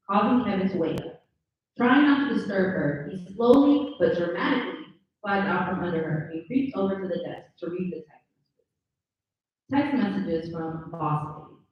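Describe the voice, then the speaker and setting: A woman narrates screenplay stage directions at a live table read. Her mid-pitched voice comes from far off in a heavily echoing room, slightly dulled. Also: animated, moderate pace. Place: live indoor table read at a mixer event. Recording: slightly dull, very echoey, distant pickup.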